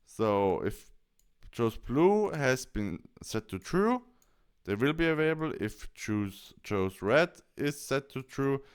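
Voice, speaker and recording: A man presenting a software tutorial, explaining each step as he goes. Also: mid-range voice, big pitch swings, slow pace, clean tone.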